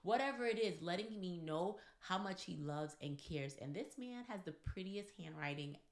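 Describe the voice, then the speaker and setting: A woman co-hosting a casual podcast with her husband, talking straight to the listeners in an animated, preachy way. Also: lowish voice, normal speed, roomy sound. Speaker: co-host.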